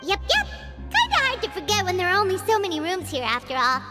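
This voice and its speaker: A tiny fairy companion from a video game. The voice is squeaky and childlike, pitched extremely high with very lively swoops. The character is excitable and prone to whining, and music plays clearly behind. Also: female voice, quick pace, exaggerated voice. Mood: wry. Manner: refers to self in third person, exclaiming. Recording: clean studio voice over game music.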